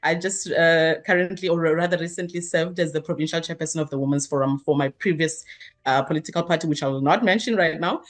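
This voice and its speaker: Low-voiced woman in her thirties. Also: fast. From South Africa. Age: 30s. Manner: earnest, expansive, many fillers and restarts. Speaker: guest, activist. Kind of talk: interview answers.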